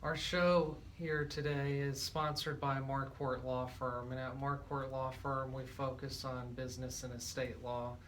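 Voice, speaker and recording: A man with an average-pitched voice hosts a talk radio program. He speaks conversationally, as an interviewer does. A faint layer of noise sits behind his voice. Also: level tone, a little slow, few pauses, fairly bright tone. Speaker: radio show host.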